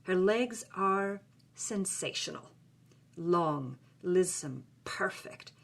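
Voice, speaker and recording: A woman voicing a passionate, sensual young film star, reading a journal with lingering, dramatic phrasing. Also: mid-range voice.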